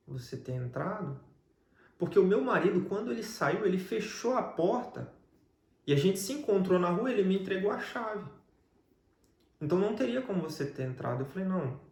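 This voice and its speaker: A man's voice: sits high, darkish tone. A man with a Brazilian Portuguese accent tells a personal religious testimony as a guest, in conversational storytelling. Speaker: guest telling his life story. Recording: echoey, reverberant room.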